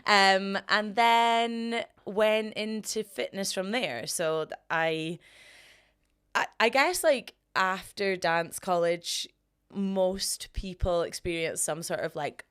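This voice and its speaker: A young woman with a Scottish Highlands accent, chatting as a podcast guest, laughing often and filling gaps with ums and likes. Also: slow pace, a bit bright, smooth. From Scotland. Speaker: guest, strength coach. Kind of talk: casual podcast chat.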